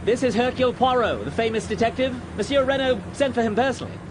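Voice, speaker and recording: An actor playing a romantic, impressionable gentleman in a radio drama. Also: very high voice, animated, fast talker. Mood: assertive. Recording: clear car and outdoor noise.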